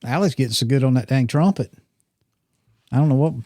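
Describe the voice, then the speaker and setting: A man's voice: mid-range voice, brisk, warm tone, dry recording, clean studio sound. A man around sixty, co-hosting a hunting podcast in casual, rambling, teasing chat. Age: 60s. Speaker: podcast co-host.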